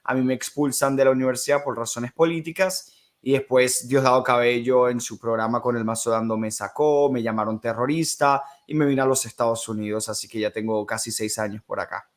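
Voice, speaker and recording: A man's voice: medium pitch, steady tone, brisk. A young man from Venezuela with a Venezuelan accent, a political commentator answering interview questions politely and steadily. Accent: Venezuelan Spanish. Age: young adult.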